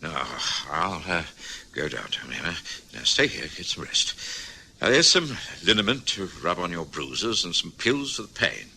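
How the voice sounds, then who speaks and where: Respectable doctor narrator for old radio drama; reassuring, brisk, very expressive, faint background hiss. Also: male voice, low-pitched, vocal fry, exaggerated voice. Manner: brisk, reassuring bedside manner. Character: steady respectable male general practitioner.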